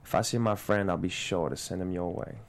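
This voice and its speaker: A man's voice: low-pitched, fairly even intonation, fairly quick, creaky. A man playing a proud, guarded rural Mississippi father in a screenplay read, terse and restrained. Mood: guarded defiance. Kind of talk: table read of a screenplay drama.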